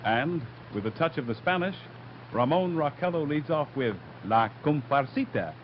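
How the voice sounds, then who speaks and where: A man's voice: on the high side, lively intonation, normal speed, very noisy, natural voice. An actor playing a suave male hotel ballroom announcer in 1930s radio style. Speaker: dance band announcer. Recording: lo-fi old broadcast, loud music behind.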